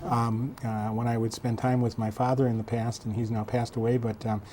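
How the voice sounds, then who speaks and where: Measured man in his sixties. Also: mid-range voice, little pitch movement, dark tone, slight background noise. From south central Minnesota. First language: German. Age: 60s. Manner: measured, halting, many fillers. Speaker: school superintendent guest. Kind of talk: local TV interview.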